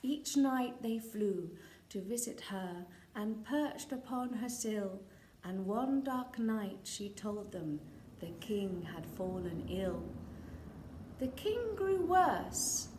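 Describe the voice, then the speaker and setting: Female children's storyteller, expressive and dramatic, very unhurried delivery, roomy sound with faint background noise. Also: mid-range voice. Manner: expressive, dramatic, talks to young listeners. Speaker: storyteller reading a children's picture book. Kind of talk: animated read-aloud to a live audience.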